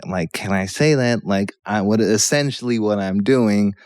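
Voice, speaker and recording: A man's voice: lowish voice, normal speed, a little mellow, no room sound, studio quality. A Polish-born man in his thirties, a guest comedian, telling a rambling story on a podcast and checking in with "yeah?" as he goes. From Poland.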